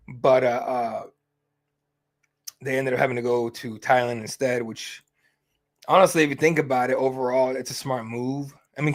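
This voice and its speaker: A young man hosts a fight-night livestream, rambling loosely between topics. He swears freely, trails off into ums and uhs, and keeps an easygoing, offhand tone at a middling pitch. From Mexican heritage. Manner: rambling, profane, easygoing, many fillers. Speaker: host of a fight companion stream. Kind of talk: casual livestream chat.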